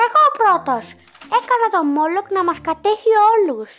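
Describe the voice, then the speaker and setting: Woman doing a very high, excitable little cartoon boy. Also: faint music behind, full character voice. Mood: playful. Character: excitable little boy who likes scary things. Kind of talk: animated cartoon fandub.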